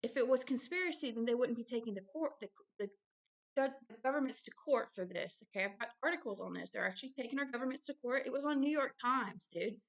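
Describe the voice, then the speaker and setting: A woman's voice: on the high side, animated, fast. A woman giving informal religious commentary while reading aloud from a book, with emphatic repetition and colloquial asides. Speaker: online ministry host reading and commenting on a book.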